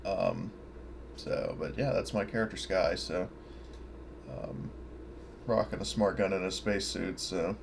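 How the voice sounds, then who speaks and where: Casual male tabletop gamer, lowish voice, halting delivery, faint noise behind. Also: steady tone, creaky. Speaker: tabletop game player. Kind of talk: casual chat on an online game session.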